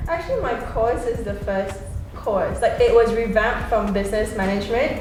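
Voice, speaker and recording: A woman's voice: on the high side, lively intonation, moderate pace, a little mellow, slight background noise. Young Singaporean woman, distant and echoey. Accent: Singaporean English. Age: young adult. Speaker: polytechnic student. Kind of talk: casual group chat.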